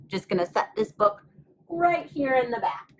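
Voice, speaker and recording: A woman's voice: on the high side, sing-song, normal speed, a little hiss or hum. A woman teacher giving a step-by-step craft lesson to young children, explaining things simply and patiently.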